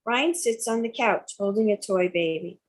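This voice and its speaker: A woman narrates stage directions at a table read. Her voice sits at a medium pitch as she reads out scripted scene descriptions. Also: normal speed, a little hiss or hum.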